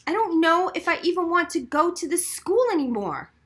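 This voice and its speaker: Lady reading as upset brainy middle school girl, higher pitched, pushy and emphatic, bright audiobook voice. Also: big pitch swings, normal speed, exaggerated voice. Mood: upset, insistent. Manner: insistent, emphatic. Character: upset, outspoken gifted middle-school girl, arguing passionately. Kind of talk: acted audiobook dialogue.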